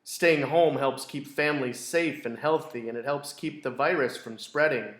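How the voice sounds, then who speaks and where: A man reading a children's picture book aloud in a deliberate, expressive storytelling way. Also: mid-range voice. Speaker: school read-aloud storyteller. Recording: roomy, echoey indoor sound.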